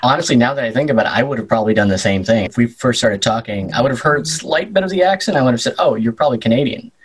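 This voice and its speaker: A man's voice: medium pitch, rapid-fire, slightly rough, low-quality recording. Young Midwestern American man, interview guest, playful storyteller. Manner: animated, slips into playful voices and accents. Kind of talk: casual conversational storytelling.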